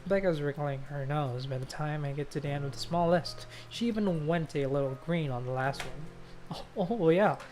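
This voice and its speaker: Playful streamer over soft game music. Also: medium pitch, moderate pace. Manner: sweary, playful. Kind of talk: casual game commentary, reading story text aloud. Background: faint game music.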